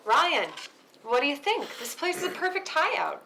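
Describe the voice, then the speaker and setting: Woman acting a high, lively, taunting unhinged young woman. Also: moderate pace, a little hiss or hum, full character voice. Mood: playful, teasing. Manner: mocking, sing-song, goading. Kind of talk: acted table read of a film script.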